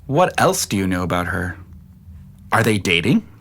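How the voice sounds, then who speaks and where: A family man in a naturalistic audio drama. He is plain-spoken and questioning, loyal but doubtful, with a lively, moving pitch. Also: moderate pace, exaggerated voice.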